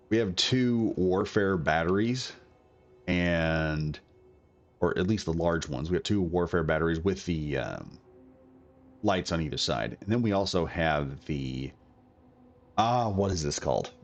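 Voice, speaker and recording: A man's voice: low voice, lo-fi sound. A man recovering from a cold, coughing now and then, giving a rambling, chatty commentary on a game build. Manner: rambling, chatty, self-correcting. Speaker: gaming video creator.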